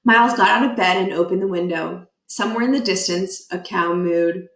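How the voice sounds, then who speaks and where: A woman reading a children's book aloud expressively, with deliberate emphasis and a playful deadpan touch. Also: lowish voice. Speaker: read-aloud storyteller. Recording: echoey room.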